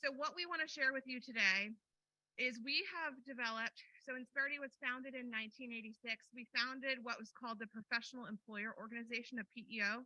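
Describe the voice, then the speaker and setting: A woman's voice: on the high side, fairly even intonation, fairly quick, pushed and bright, dry recording, muffled recording. A woman business advisor giving an upbeat, confident conference talk into a handheld microphone. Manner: confident, upbeat, strong-voiced. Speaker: business advisor presenting at a workshop. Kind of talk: conference presentation. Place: conference room.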